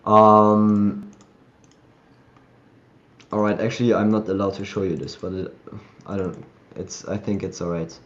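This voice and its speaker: A man hosting a live community call, chatting loosely and thinking aloud as he shows software on his screen. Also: fairly low pitch, little pitch movement, unhurried, pauses often, dull audio. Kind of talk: casual screen-share tutorial.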